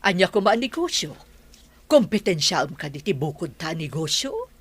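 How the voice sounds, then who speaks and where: Low-voiced sceptical Ilocano mother. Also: very animated, normal speed, breathy edge. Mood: questioning, sceptical. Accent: Ilocano Filipino. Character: mature businesswoman mother, sceptical and bossy. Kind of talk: Ilocano radio drama acting.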